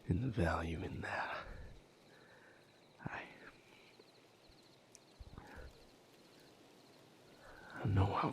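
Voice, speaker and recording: Man acting a low, whispery, anguished lover. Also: heavily acted. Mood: pleading, soothing. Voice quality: breathy, gravelly whisper. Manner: halting, hushed, fraught delivery with long pauses. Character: anguished male lover comforting and seeking comfort, vampire romance. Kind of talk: intimate audio roleplay, dramatic acting.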